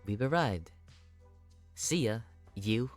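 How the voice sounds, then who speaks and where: A man voicing a quiet, awkward young killer crush in a horror visual novel. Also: lowish voice, expressive, takes it slowly, faint music behind. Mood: casual, evasive. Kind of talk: dramatic game character reading.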